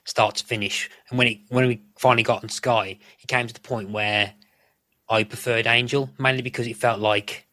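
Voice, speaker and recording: A man's voice: mid-range voice, fairly even intonation, normal speed, sharp tone, dry recording. A man chatting casually as a podcast guest, explaining things in a rambling way. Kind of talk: casual chat.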